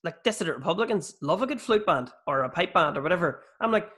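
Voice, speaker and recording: Animated Northern Irish man, fairly high voice. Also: quick pace. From Northern Ireland, near South Armagh. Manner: animated, sweary storyteller. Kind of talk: casual chat.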